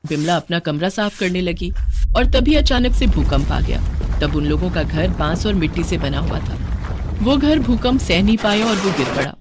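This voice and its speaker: A woman's voice: fairly low pitch, expressive, normal speed, background noise. A woman narrating a scripted moral story as a storyteller.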